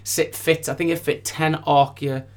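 Gushing, higher-pitched young-sounding male musician guest. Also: flat delivery, normal speed. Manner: gushing, enthusiastic. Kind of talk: casual anecdotal interview chat.

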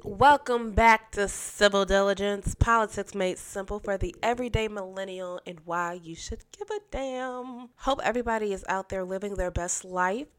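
A young woman hosts her own political podcast in a casual, blunt voice with plenty of attitude. She is stuffed up from a cold, so her voice comes out nasal and congested. Her pitch sits in an ordinary middle range. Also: normal speed, few pauses, smooth. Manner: blunt, sassy, emphatic. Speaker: podcast host.

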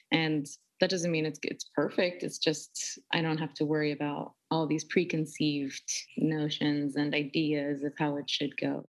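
A woman with a notably low speaking voice, though she is a soprano singer, talks in a flowing, articulate way. She shares her thoughts in a relaxed interview conversation. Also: poor audio.

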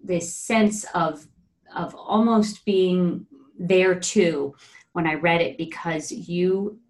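Lower-voiced woman interviewer. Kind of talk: reflective conversational interview.

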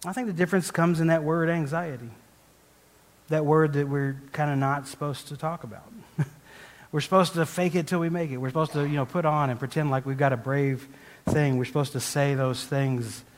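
A man's voice: fairly high pitch, fairly even intonation, normal speed. Self-deprecating male preacher in a reverberant hall. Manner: rambling, self-deprecating, frequent restarts and laughs. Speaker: guest preacher. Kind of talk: conversational sermon with personal story.

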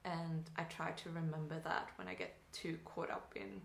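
Swedish woman with a low, echoey voice. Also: fairly even intonation, moderate pace, vocal fry, a little hiss or hum. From Sweden. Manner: thoughtful, with restarts and laughing asides. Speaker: acting student. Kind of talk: casual interview chat.

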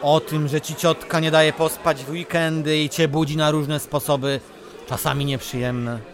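An actor playing a drowsy, wry friend who hesitantly half-listens in a radio play. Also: male voice, a little high, level tone, moderate pace, lightly acted. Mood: wry, resigned. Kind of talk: radio play acting, casual dialogue.

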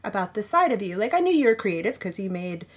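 A woman's voice: mid-range voice, sing-song, fast talker, husky. A woman hosting a casual interview, encouraging and chatty, often saying "like". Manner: warm, encouraging, says like often. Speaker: podcast host.

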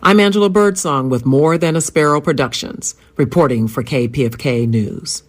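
A woman working as a radio news reporter and host, delivering reports and fund-drive pitches with emphatic, drawn-out phrasing. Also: heavy background noise.

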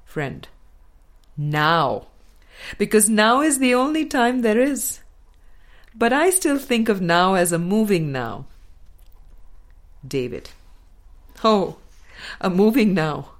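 Slow, deliberate woman narrator. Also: mid-range voice. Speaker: audiobook reader. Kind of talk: scripted spiritual book narration, reading a dialogue.

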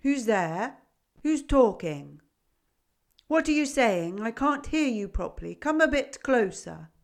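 A woman voicing a lonely, blind old goat with a gruff, cobwebby voice and a fussy way of talking, in a read-aloud storybook. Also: a little high, big pitch swings, takes it slowly, voice pushed up, full character voice. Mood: calling out. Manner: fussy, keeps asking "yes, yes?". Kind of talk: storybook reading.

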